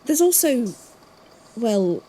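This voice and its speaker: A woman playing a guarded, thoughtful investigator in an audio drama, speaking haltingly. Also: a little high, sing-song, dark tone, a little hiss or hum, rough recording. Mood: hesitant, thoughtful. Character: guarded, puzzled investigator from a supernatural archive.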